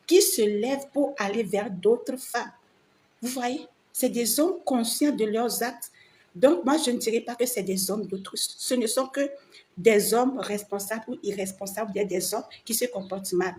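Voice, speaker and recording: A woman's voice: fairly high pitch, darkish tone. A woman, a French speaker, giving an informal opinion monologue to other women, emphatic and repeating phrases for emphasis. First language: not French. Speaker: vlogger. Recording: noticeable background ambient noise.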